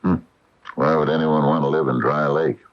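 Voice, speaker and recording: An actor playing a gruff, folksy American hardware store owner, wary and emphatic, in a radio drama. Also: male voice, extremely low pitch, fairly even intonation, normal speed. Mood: troubled, indignant. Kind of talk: 1980 radio drama acting. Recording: dull lo-fi 1980 radio broadcast.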